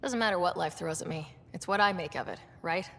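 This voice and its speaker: An actress playing a sincere, supportive young leader in a video game, inquisitive, with a creaky edge to the voice. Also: female voice, fairly low pitch, fairly quick, a bit bright. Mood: determined. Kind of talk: video game dialogue acting. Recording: long reverberant hall sound.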